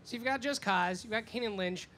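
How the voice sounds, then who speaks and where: A man's voice: high voice, brisk, pushed and bright. A man interviewing casually in fan-like chat, with informal phrasing full of filler "like". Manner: informal, peppers speech with "like". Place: fan convention event. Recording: noisy live event with background ambience.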